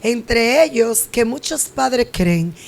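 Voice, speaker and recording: Woman pastor, gravelly voice. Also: a little high, animated, slow, a little mellow. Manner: preachy, exhorting. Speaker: pastor and host.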